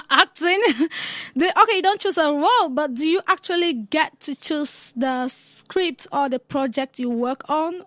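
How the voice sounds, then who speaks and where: A woman's voice: sits high, a little slow, a bit bright, no room sound. A woman hosting a casual radio interview. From Africa. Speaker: radio show host.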